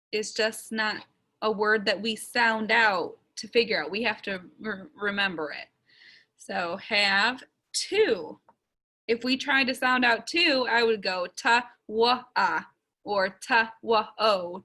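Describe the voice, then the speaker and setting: A young woman teacher recording a writing lesson for small children, sounding out each word slowly and stretching the letter sounds. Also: fairly high pitch, sharp tone.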